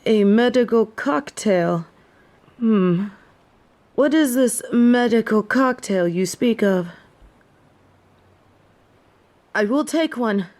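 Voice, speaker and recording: A proud, snobby fallen angel of judgment, acted by a woman, suffering through a head cold. The voice is fairly high and very measured, with haughty, old-fashioned formal wording suited to a dramatic audio roleplay. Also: lively intonation, a little mellow. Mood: pained, demanding. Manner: haughty, formal, archaic phrasing. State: sick with a head cold, sore throat.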